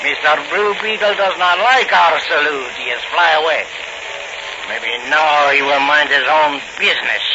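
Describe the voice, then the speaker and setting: An actor playing a ruthless, mocking racketeer boss with a Spanish accent, in broken English, in a 1940s radio drama. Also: male voice, fairly high pitch, moderate pace, natural voice. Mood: gloating. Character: ruthless, smug Latin racketeer boss aboard his yacht. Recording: dull, very noisy 1940 radio recording.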